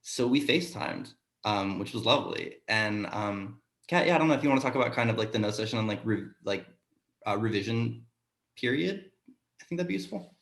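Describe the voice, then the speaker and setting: A man answering casually in a panel discussion as a sound designer, haltingly thinking aloud as he goes. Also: lowish voice, lively intonation, fairly bright tone. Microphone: video call. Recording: roomy echo on a video call.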